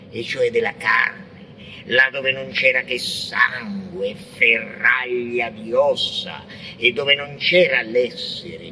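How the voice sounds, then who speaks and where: A frenzied visionary poet in a theatrical radio monologue. The delivery is very slow and forceful, lurching from hushed whispers to shouted declamation, blasphemous and intense. There is faint noise behind the voice. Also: male voice, medium pitch, heavily acted, rough recording. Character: frenzied, blasphemous visionary poet ranting against God and man. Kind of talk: intense theatrical monologue, avant-garde poetic radio drama.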